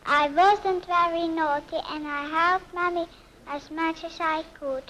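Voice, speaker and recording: A woman's voice: very high-pitched, fairly even intonation, measured pace, poor audio. A female performer as a solemn little Dutch girl, telling her story simply and carefully in 1940s film narration.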